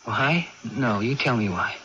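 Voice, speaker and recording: A muffled, hissy radio recording carries a patient young man with a light voice. He speaks gently and earnestly, a little hesitant, in 1980s radio drama style. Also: medium pitch, unhurried. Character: earnest, patient young brother of a troubled woman. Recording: dull, noisy old radio broadcast.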